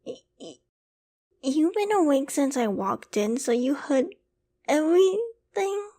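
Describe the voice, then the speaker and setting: A woman's voice: high voice, big pitch swings, slow, full character voice. A woman playing a shy, loving, easily flustered young girlfriend in an intimate ASMR bedtime roleplay, speaking tenderly and hesitantly. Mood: flustered, embarrassed. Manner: tender, hesitant, soft-spoken with stammers.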